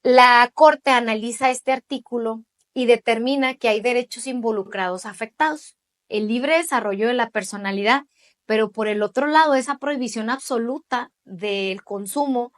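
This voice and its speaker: A woman's voice: a little high, normal speed. A woman in her late thirties with a Mexican accent gives explanatory interview answers, emphatic and often checking in with short tag questions. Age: late 30s. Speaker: interviewee, judicial candidate.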